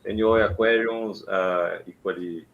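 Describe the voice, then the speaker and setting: A man from Brazil with a Brazilian Portuguese accent, speaking as a caller in a casual chat in halting English and searching for words. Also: low-pitched, steady tone, gravelly, heavy background noise. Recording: dull lo-fi line.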